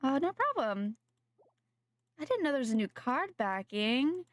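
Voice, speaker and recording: Young adult woman, streamer, nasal from a sore throat, rambling casual chat, lively up-and-down pitch, dry room. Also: measured pace, no room sound. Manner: rambling, self-correcting, chatting to viewers. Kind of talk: casual live-stream chat while gaming.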